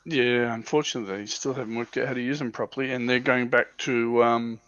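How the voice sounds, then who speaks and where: A man's voice: medium pitch, steady tone, brisk, fairly bright tone, dry recording. A man co-hosting a livestream, casually explaining tabletop game units as an informal, somewhat hesitant enthusiast on slightly dull audio. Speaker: livestream co-host.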